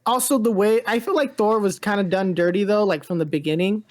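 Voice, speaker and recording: A woman's voice: medium pitch, fairly quick, darkish tone. A woman co-hosting a pop-culture podcast in casual chat, rambling and hedging as she talks informally. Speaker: podcast co-host.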